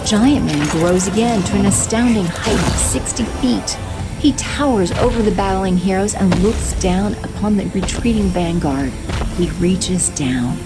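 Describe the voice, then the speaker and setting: A woman narrating a superhero action audio drama in a steady, descriptive storytelling manner. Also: mid-range voice, a little slow, over loud music.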